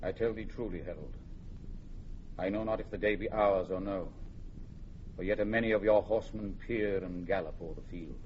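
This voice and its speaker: An actor playing a stern, pious English warrior king in classical Shakespearean radio style, declaiming from hushed gravity to ringing command. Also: low voice, moderate pace, long pauses, close to an everyday voice. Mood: solemn. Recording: dull, noisy archival radio drama recording.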